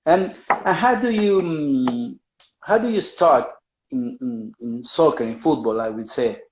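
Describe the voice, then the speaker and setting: A man with an Argentine accent hosts a casual live interview, switching between Spanish and careful, halting English. His voice sits at an ordinary middle pitch and carries a noticeable roomy echo. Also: lively intonation, darkish tone. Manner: halting, searching for English words.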